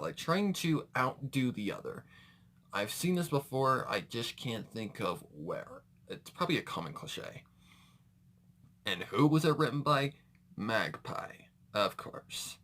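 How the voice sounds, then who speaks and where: A man's voice: mid-range voice, sharp tone. A man giving casual reaction commentary as a video host, rambling and correcting himself as he goes, with mild slangy exclamations.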